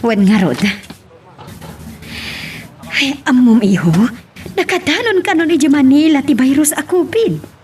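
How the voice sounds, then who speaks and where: A woman's voice: high voice, very animated, unhurried, pauses often, darkish tone. A woman playing a caring, worrying older female figure in an Ilocano audio drama, with an Ilocano accent. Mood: concerned. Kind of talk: Ilocano radio-style drama acting. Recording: noisy ambience behind the voice.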